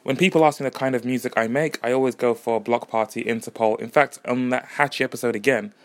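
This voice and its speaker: A man's voice: mid-range voice, steady tone, fast talker, dry recording. A man hosting his own podcast alone, musing in a rambling, self-deprecating way. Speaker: podcast host and musician. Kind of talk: casual solo podcast chat.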